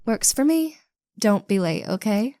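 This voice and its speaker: A smug, popular teenage cheerleader, acted by a woman for a romantic audio roleplay. She is sassy and quick to defend herself, yet draws her words out at an unhurried pace. The sound is very dry. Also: mid-range voice, lively intonation, warm tone, dry recording. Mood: impatient. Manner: sassy, pushy.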